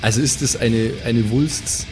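A man improvises as a player in a tabletop game. He talks casually and hesitantly, with plenty of slang, in a mid-range voice. Guitar music is clearly audible behind him. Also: medium pitch, fairly even intonation, a little slow. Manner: slangy, hesitant thinking aloud.

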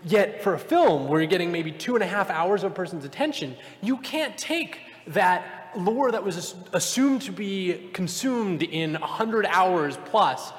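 High-voiced man explaining in a hall. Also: expressive, a little slow, few pauses. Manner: explanatory, dry wit. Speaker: game designer on a panel. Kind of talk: casual panel talk before a live audience. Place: convention hall. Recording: long hall reverb.

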